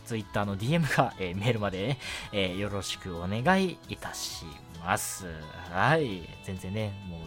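Low-voiced young man, lively intonation. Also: moderate pace, hardly any pauses. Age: young adult. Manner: polite, chatty, punctuates phrases with short affirmations. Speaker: radio show host, amateur voice actor. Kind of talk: casual solo radio talk with announcements. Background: background music.

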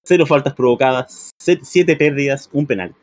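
A man's voice: medium pitch, moderate pace, no echo. A man with a Chilean accent chatting casually as a football commentator, teasing and jokingly exaggerating. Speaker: football commentator on a group broadcast. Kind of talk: casual football banter during a live match.

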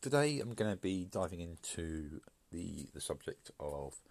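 A man hosting his own podcast, talking through an opinion piece in a measured, hesitant way with frequent fillers and restarts, sounding congested and bunged up from hay fever. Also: deep voice, very animated, vocal fry, no echo. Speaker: podcast host. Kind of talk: solo podcast commentary.

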